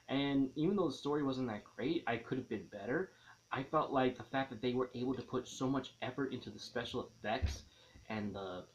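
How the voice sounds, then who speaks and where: Casual man reviewing a movie, dull lo-fi audio. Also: mid-range voice. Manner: enthusiastic, rambling, repeats and rephrases himself.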